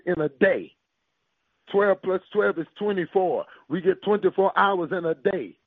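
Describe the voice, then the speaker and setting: High, gravelly preacher man over radio. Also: dry recording. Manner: emphatic call-and-response preaching, quoting scripture. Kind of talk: sermon. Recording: tinny phone-like radio broadcast.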